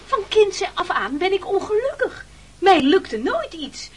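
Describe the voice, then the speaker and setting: An actor playing a timid, stubborn, self-pitying castaway who stammers and protests in a radio play. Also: male voice, extremely high pitch, very animated, measured pace, a little hiss or hum, full character voice. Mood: plaintive, pleading. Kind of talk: theatrical radio play acting.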